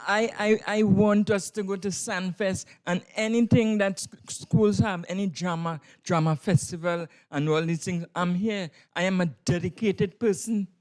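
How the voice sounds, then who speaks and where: A man's voice: high-pitched, fairly even intonation, moderate pace, few pauses. A man with a Trinidadian accent answering interview questions as a drama tutor, emphatically and in short bursts.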